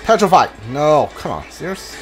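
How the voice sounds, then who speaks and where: A gamer giving casual playthrough commentary, thinking aloud in halting fragments over a game. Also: mid-range voice, big pitch swings. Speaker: gamer, streamer. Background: game music.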